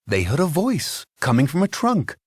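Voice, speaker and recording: A man narrating a scripted children's story in an expressive, dramatic storytelling manner. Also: fairly high pitch, normal speed, dry recording, studio quality.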